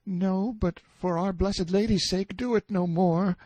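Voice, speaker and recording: A man's voice: a little high, wildly swooping pitch, slow pace, mellow, husky, no room sound, voice pushed up, exaggerated voice. A man voicing a timid young medieval page boy who speaks in archaic, courtly exclamations in an audiobook story. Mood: fearful, pleading. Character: timid, light-hearted medieval page boy, superstitious and easily frightened.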